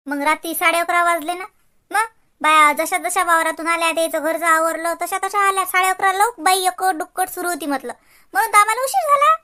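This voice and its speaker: A woman's voice: very high voice, a little fast, pushed and bright. A woman playing a sarcastic, chatty young daughter-in-law in a cartoon comedy, mocking and repeating herself in a Varhadi Marathi accent. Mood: chatty, explanatory. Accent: Varhadi Marathi from Vidarbha. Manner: mocking, repetitive, gossipy. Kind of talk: exaggerated cartoon comedy acting.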